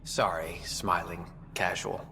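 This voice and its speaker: A man's voice: deep voice, little pitch movement, slow pace, creaky, bright, forceful tone, slight background noise, exaggerated voice. A man voice actor playing a wry, obliging space station tech specialist in a video game, in a reverberant space. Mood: conspiratorial.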